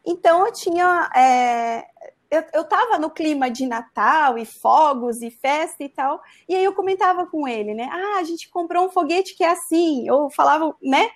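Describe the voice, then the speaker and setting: Uma mulher jovem de Santa Catarina contando uma história de forma casual e animada, cheia de "né". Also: female voice, sits high, normal speed. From Santa Catarina, Brazil. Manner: animated, frequent "né" tags. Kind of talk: casual storytelling.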